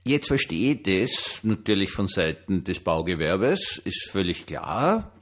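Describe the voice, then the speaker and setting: A man's voice: low-pitched, sing-song, measured pace. An older man with a Viennese Austrian accent, speaking as a pastor in an informal, hesitant but emphatic personal monologue. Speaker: pastor and online diary host.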